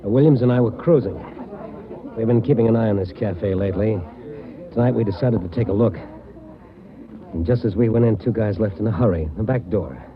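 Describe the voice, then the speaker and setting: A man's voice: fairly low pitch, a little fast, a crowd in the background, close to an everyday voice. An actor playing a wounded police patrolman who speaks weakly and plainly, in 1940s radio drama style. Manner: weak, plain-spoken. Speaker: police officer. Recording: muffled old radio broadcast.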